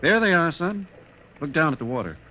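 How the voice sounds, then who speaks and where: A man playing a grave, patient, gentle father speaking to his son in an old radio drama. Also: on the high side, very animated, brisk. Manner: solemn, patient. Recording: muffled old radio recording, faint noise.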